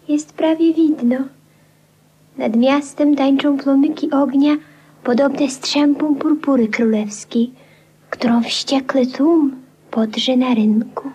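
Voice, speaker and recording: Wistful gentle lady, high voice, slow and breathy, poetic, vintage radio drama. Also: steady tone, lo-fi sound. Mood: dreamy, wondering. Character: dreamy, gentle lady companion to an eccentric man. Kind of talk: 1950s radio play acting.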